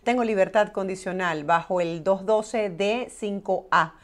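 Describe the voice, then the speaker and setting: Woman, medium pitch, program host, reading questions aloud, broadcast interview style. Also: a little slow.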